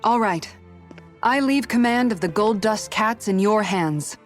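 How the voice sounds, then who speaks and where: A woman's voice: medium pitch, expressive, soft background music, lightly acted. Actress as an earnest, resolute young woman warrior. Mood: firm, composed. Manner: measured, formal, sincere. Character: earnest, resolute young woman guard turned freedom fighter. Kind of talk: dramatic video game cutscene acting.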